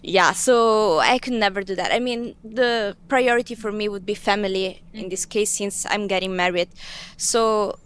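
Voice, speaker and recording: A woman's voice: mid-range voice, normal speed, clean tone. A woman giving casual, conversational answers in an interview.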